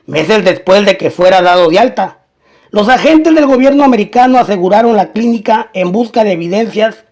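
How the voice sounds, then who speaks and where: Man, high voice, scripted crime narration, storytelling, colloquial slang. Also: darkish tone.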